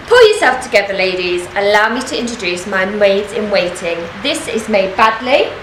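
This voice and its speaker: A woman's voice: medium pitch, big pitch swings, normal speed, fairly bright tone. A woman playing a bossy, spirited young noblewoman in a stage pantomime, speaking with theatrical emphasis. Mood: indignant, bossy. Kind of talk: broad stage pantomime acting. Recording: echoey hall, distant mic, faint noise.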